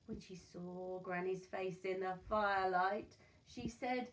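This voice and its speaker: A woman reading a children's story aloud in an expressive, dramatic and deliberate narrating style. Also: mid-range voice. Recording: voice far from mic, noisy room.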